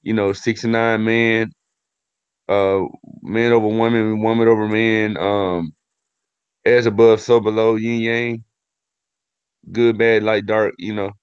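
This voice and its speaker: A man's voice: fairly low pitch, little pitch movement, slow pace, long pauses, smooth, dry recording. A man hosting a casual live-stream discussion, talking loosely and thinking aloud. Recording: dull lo-fi stream audio.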